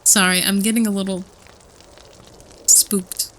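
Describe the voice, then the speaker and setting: A woman plays a nervous female student in a campfire audio drama. She tells creepy folklore casually and haltingly, circling back on her words in a mid-range voice. Faint ambience sits behind her. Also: medium pitch, flat delivery, fairly bright tone, slight background noise, close to an everyday voice, low-quality recording. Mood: nervous excitement. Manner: hesitant, giggly, repeats herself. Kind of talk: casual campfire storytelling roleplay.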